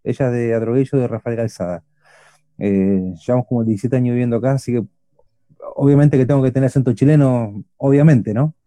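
A middle-aged man with an Argentine accent hosts a casual radio chat. He has a very dark, mellow voice and keeps circling back with fillers and restarts. The recording is dry and close. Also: mid-range voice, a little fast, no room sound. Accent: Argentine Spanish. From Argentina, Buenos Aires. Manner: rambling, many restarts and fillers. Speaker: radio show host.

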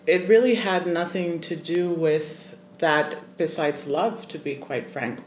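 A young adult guest from Zimbabwe, telling a personal story in a thoughtful, measured way with many pauses and ums. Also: medium pitch. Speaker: podcast guest. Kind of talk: casual interview storytelling. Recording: roomy echoey room, faint noise.

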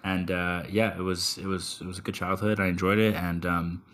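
A young man hosts his podcast in a loose, laid-back way, tossing in swears and plenty of ums. His voice sits very low. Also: level tone, normal speed, a bit bright. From Texas. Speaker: podcast host. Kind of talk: casual interview chat.

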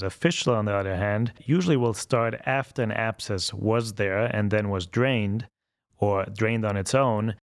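This is a middle-aged man, speaking in a lowish voice. He explains medical matters in a calm, methodical way. The sound is dry and close, with almost no room. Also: dry recording. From Austria. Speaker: colorectal surgeon guest.

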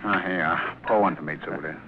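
An actor playing a level-headed captain held prisoner, earnest and reasoning in a dramatic 1950s radio style. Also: male voice, low voice, fast talker, lightly acted. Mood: wary. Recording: muffled, noisy old radio broadcast.

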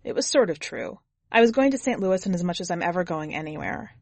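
Casting: female reader as sardonic young hitchhiker, slightly low voice, blunt and jaded, dry studio sound. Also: expressive, a little fast, no echo. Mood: wry, jaded. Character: jaded, foul-mouthed twenty-year-old drifter hitchhiker. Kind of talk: first-person audiobook narration.

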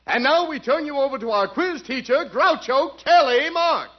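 Casting: high, lively male announcer with showy intros, old dull radio sound. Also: normal speed.

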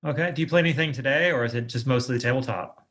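A man's voice: medium pitch, racing, a bit bright. A man hosting a casual interview, talking in an effusive, jokey way. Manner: effusive, jokey, laughs often. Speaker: podcast host, interviewer.

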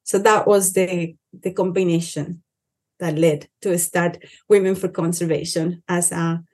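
A woman's voice: fairly low pitch, steady tone, unhurried, a little mellow. Earnest Colombian woman on a podcast. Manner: earnest, with frequent restarts. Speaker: conservation organization director. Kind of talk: podcast interview.

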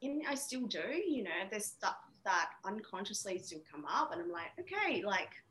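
A woman from Vietnam, Vietnamese her first language, speaking reflectively as an interview guest with many pauses and fillers. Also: mid-range voice, big pitch swings, a bit bright, rough recording. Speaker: interview guest, women's coach. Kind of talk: casual interview.

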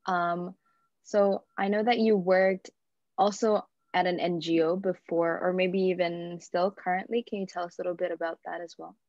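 A woman's voice: on the low side, fairly even intonation, normal speed, darkish tone, no echo. A woman from Indonesia hosting an interview, friendly with hesitant fillers. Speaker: interview host. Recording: dull lo-fi sound.

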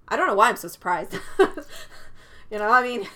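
A woman with a bright, clear voice talks through a film review in a loose, casual way. She laughs often and restarts her phrases. Her first language is Cantonese. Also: on the high side, big pitch swings, normal speed. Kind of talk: casual chat.

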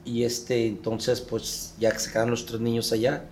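A middle-aged man tells his story as an interview guest. He speaks slowly and with feeling, pausing and restarting. Faint room ambience hums behind him. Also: medium pitch, completely flat delivery, a little mellow, slight background noise. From Mexico. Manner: reflective, halting, emotional. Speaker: guest, father.

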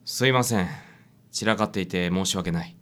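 A man's voice: deep voice, moderate pace, fairly bright tone. A man playing a meek, flustered middle-aged divorced father in a radio drama. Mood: hesitant, polite.

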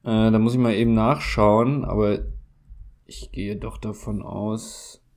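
A man speaking with a German accent, playing a guessing game in casual chat, slow and hesitant, thinking aloud with many fillers. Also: fairly low pitch, flat delivery, a little mellow.

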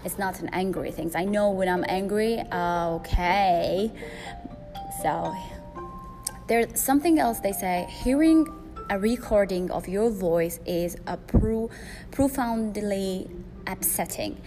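Loud-voiced woman podcaster over music. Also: mid-range voice, slow pace. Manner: rambling, frequent fillers and restarts. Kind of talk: solo podcast monologue. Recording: music playing behind.

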